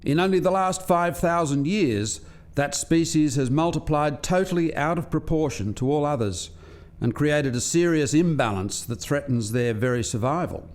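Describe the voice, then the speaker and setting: An actor playing an articulate alien scientist in a radio play, explaining things precisely as if lecturing a visitor. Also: male voice, fairly high pitch, expressive, normal speed, darkish tone, natural voice. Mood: explanatory.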